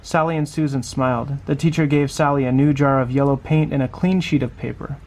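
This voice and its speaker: A man's voice: medium pitch, fairly even intonation, fairly quick, a little mellow. A man reading a children's picture book aloud, adding small conversational asides as he goes. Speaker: storybook reader.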